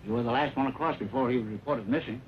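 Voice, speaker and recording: A man's voice: mid-range voice, very fast, slight background noise, natural voice. An actor playing a proud, stubborn old former marshal and devoted father in an old western drama, speaking earnestly. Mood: worried, earnest. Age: older. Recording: old muffled radio-era recording.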